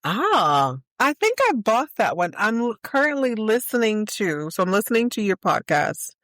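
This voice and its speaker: A woman's voice: medium pitch, sing-song, moderate pace, fairly bright tone, dry recording, studio quality. A woman hosting a podcast interview, chatting casually as she asks questions and responds to her guest.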